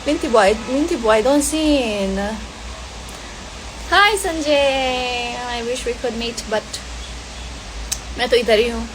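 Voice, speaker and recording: Woman chatting over steady hiss. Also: fairly high pitch, moderate pace, pauses often. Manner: relaxed, hesitant with fillers, mixing languages. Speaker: film actress answering fan questions. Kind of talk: casual question and answer.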